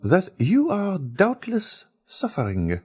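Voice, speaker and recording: A man doing a worldly, plain-spoken baroness in a high, lilting register that swoops up and down. The voice is breathy and slightly husky underneath, as the audiobook narrator puts her on. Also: huge pitch swings, slow, a little mellow, exaggerated voice. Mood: chatty. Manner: lilting raised falsetto. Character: worldly middle-aged French baroness, frank. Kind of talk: audiobook character reading.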